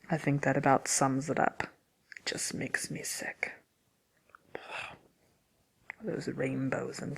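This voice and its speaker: Lady doing a wicked witch asmr host, haughty n sly, low creaky voice, drawls soft and whispery. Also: exaggerated voice. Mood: disdainful, sly. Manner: slow, deliberate, drawling, with whispery trailing phrases. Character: wicked witch, deviously gloating, haughty, fond of scaring children. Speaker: character host answering listener questions. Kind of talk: ASMR, soft-spoken in-character question and answer.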